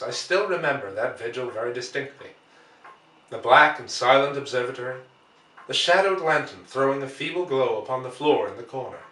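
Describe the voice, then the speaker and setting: A man's voice: medium pitch, pushed and bright. Echoey, distant man reading a story aloud. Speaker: audiobook reader. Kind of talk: dramatic literary narration, reading aloud. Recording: echoey room, distant microphone.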